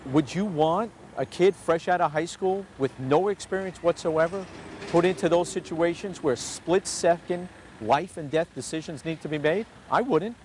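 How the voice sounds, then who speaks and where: A man's voice: on the high side, normal speed, a little mellow, slight background noise. A middle-aged man speaking as a union representative in an interview. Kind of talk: interview soundbite.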